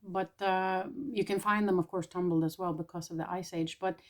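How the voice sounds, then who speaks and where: A woman from Norway, channeling spiritual messages in a casual vlog. She speaks softly and tentatively, pausing often, with an irritated throat she keeps clearing. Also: on the low side, steady tone.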